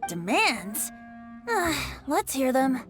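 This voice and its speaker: A woman's voice: high-pitched, big pitch swings, very slow, over music, heavily acted. A woman voicing a sly, carefree young male bard in a video game, speaking in a theatrical, mock-formal manner. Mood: wry. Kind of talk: video game character acting.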